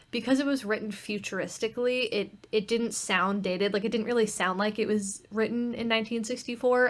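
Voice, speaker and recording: A woman who co-hosts and directs radio plays, talking casually in a group discussion. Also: medium pitch. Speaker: podcast co-host and radio play director. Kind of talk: casual round-table chat.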